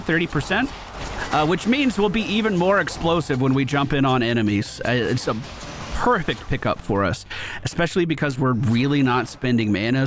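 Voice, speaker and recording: A man's voice: fairly high pitch, fairly bright tone, a little hiss or hum. A male gaming streamer giving casual live commentary, chatting and thinking aloud as he plays. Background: game music.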